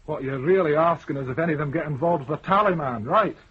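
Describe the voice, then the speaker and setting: A man's voice: a little high, fast talker, breathy edge. A man playing a wary, evasive moneylender, curt and defensive, in a radio crime drama. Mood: guarded. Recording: dull, noisy old radio broadcast recording.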